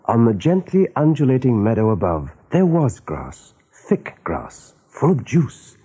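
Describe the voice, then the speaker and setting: Male narrator, dark husky tone, very expressive pitch, dramatic radio storytelling. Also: a little slow.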